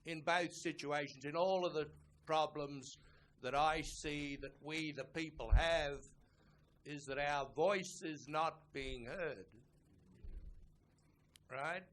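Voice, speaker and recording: A man's voice: fairly high pitch, slow pace, pauses often, a bit bright, a little hiss or hum. A man putting a question from the floor of a live session, insistent and earnest. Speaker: audience questioner. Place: indoor conference session.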